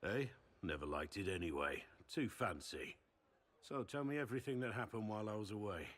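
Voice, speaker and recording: A gruff, loyal warrior in a fantasy game. His voice is low and husky. He talks plainly, with weary bluntness. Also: animated, a little fast, a bit bright. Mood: teasing. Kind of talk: video game cutscene acting.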